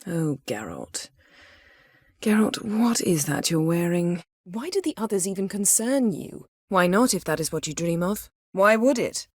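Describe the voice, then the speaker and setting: An actress playing a proud, sharp-tongued sorceress in a fantasy video game, speaking in a haughty, sardonic, clipped and articulate way. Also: female voice, fairly low pitch, sing-song, unhurried, breathy edge, no room sound, heavily acted. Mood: wry.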